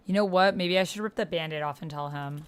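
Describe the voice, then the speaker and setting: Lower-voiced woman chatting casually over a game. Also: quick pace, clear, resonant tone. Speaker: host playing a text-based roleplaying game. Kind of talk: casual chat while playing along with typed roleplay.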